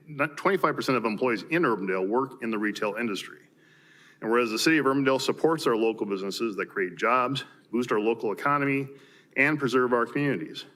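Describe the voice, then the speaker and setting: A man's voice: medium pitch, bright, forceful tone. A man with an American accent, a mayor formally reading proclamations at a council meeting. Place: city council chamber.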